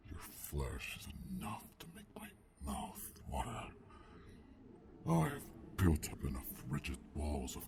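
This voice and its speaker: A man voicing a menacing, coldly controlled alpha werewolf in an ASMR roleplay, gruff and growling, speaking formally and deliberately in a half-whisper. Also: extremely low pitch, background noise, exaggerated voice. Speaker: voice actor.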